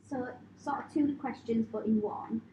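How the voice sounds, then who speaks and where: A woman asks questions from an audience at a pitch event. Her voice sits a little high. The sound is dull and roomy, with clear noise around it. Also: unhurried. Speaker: audience member asking questions.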